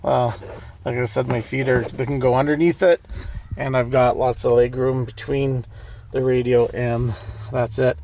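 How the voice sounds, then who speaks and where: A man's voice: mid-range voice, little pitch movement, normal speed, darkish tone, slight background noise. A man hosting a casual off-road vehicle vlog, talking through gear in a plainspoken, conversational way. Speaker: host of an off-road vehicle channel. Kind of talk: casual product demo vlog.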